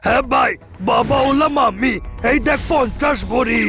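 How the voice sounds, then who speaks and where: Pushy toon goon: very high, piercing, whiny, faint music underneath. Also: male voice, a little slow, vocal fry, bright, forceful tone, exaggerated voice.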